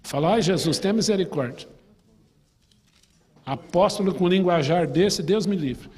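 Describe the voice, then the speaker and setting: A man's voice: high voice, unhurried, a little mellow. A man with a Brazilian Portuguese accent, a pastor teaching a Sunday school class in a chatty way, putting questions to the class and telling personal stories. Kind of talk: conversational Bible class teaching. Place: church hall. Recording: long reverb in a church hall.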